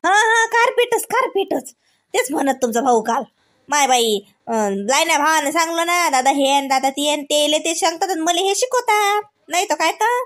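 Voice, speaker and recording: High-pitched village woman, acted by a woman, Varhadi Marathi accent, comic cartoon, rambling, unpolished, chatty. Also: expressive, normal speed, fairly bright tone. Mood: mock aggrieved. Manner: rambling, folksy, hesitant word-searching, self-deprecating. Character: chatty, unpolished village sister-in-law, small snack vendor's wife.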